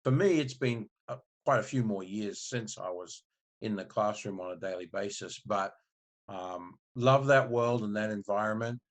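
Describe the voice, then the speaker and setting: Low-voiced man from Australia. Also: moderate pace. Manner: relaxed, rambling, with many restarts. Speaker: marketing agency owner, guest. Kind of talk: casual interview storytelling.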